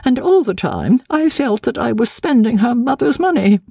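A woman's voice: on the high side, animated, moderate pace, gravelly, mellow, no echo, studio quality, pitched above the performer's own voice. A woman reading a storybook as a prim, fretful spinster cousin, martyred, secretive and intense. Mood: prim, aggrieved. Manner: evasive, intense, self-pitying, sometimes dropping to an urgent whisper.

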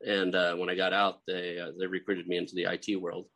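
A man's voice: low-pitched, completely flat delivery, fairly quick, a bit bright. A middle-aged man from Oklahoma with a light Oklahoma accent, hosting a casual interview podcast and rambling with chuckles and fillers. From Oklahoma, USA. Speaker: podcast host.

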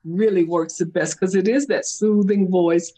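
A middle-aged woman, telling stories as a meditation guide in an interview, and she laughs often and slips into high-pitched voices when she quotes someone. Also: steady tone, moderate pace, mellow, husky. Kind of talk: animated conversational storytelling.

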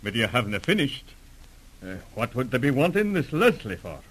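An actor playing an intrigued Scottish listener, inquisitive and marvelling, in a 1940s radio drama. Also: male voice, mid-range voice, sing-song, moderate pace, a bit bright, slightly rough. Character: intrigued Scottish listener hearing a sergeant's tale. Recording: old 1940s radio recording.

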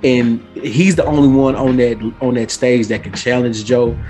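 A man's voice: mid-range voice, steady tone, normal speed, darkish tone, soft background music. A man in a casual podcast debate, giving his opinions informally and repeating phrases for emphasis. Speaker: guest podcaster.

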